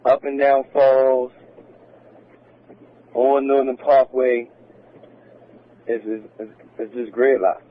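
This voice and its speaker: A man's voice: mid-range voice, little pitch movement, slow pace, pauses often, narrow, tinny audio. A male police officer talking over a police radio. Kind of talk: radio dispatch traffic.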